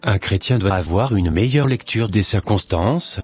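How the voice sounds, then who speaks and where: Man doing a cartoon doctor, middle-aged, preachy, deep, husky, soft background music. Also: moderate pace. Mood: lecturing. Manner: calm, lecturing and preachy. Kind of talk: amateur cartoon voice acting.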